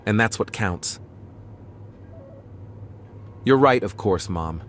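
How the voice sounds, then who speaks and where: Man playing a starship captain, reflective, earnest, lowish pitch, audio drama, slight background noise. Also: animated, slow pace. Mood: reflective, regretful. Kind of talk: fan audio drama acting.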